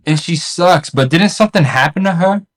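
A man's voice: on the high side, normal speed. A man co-hosting a casual podcast, blunt and profane, laughing often as he reacts. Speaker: podcast co-host. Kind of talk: casual chat.

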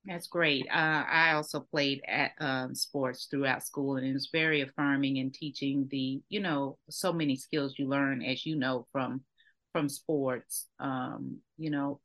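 A woman from Texas hosts a casual podcast interview in a notably low voice. She talks with enthusiasm and chatty warmth of manner, leaning on fillers and restarting her sentences as she goes. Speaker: podcast host.

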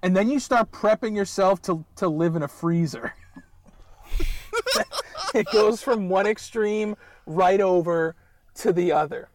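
A man's voice: high voice, measured pace, a little mellow, a little hiss or hum. A man co-hosting a casual podcast, joking and teasing, laughing often as he talks. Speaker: podcast co-host. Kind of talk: casual chat.

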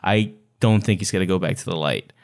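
A man's voice: low-pitched, fairly quick, vocal fry. A man co-hosting a podcast, chatting casually and informally about books, sometimes searching for words. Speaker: podcast co-host. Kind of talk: casual book discussion chat.

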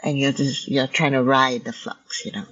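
A choreographer whose first language is Hokkien, speaking in a reflective conversation over a video call, thoughtful and halting, with many restarts. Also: female voice, very deep voice, flat delivery, a bit bright, husky. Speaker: choreographer and teacher. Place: home in Vancouver. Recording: dull lo-fi video call audio.

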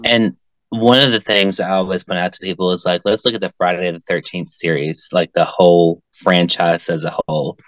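Guest: low, smooth male voice, rambling and chatty, lots of fillers. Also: fairly even intonation, normal speed, fairly bright tone, no echo, lo-fi sound. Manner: talkative, frequent "um" and "like". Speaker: interview guest, filmmaker and actor. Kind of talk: casual conversation.

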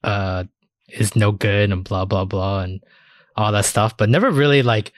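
A man's voice: on the low side, moderate pace, dry recording, clean studio sound. A man co-hosting a podcast, chatting casually and retelling things in loose, informal language. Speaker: podcast co-host. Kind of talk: casual chat.

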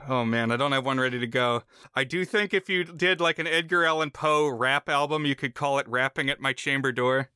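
Bright, clear-voiced American man discussing film. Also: mid-range voice, brisk, hardly any pauses, dry recording, studio quality. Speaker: podcast co-host.

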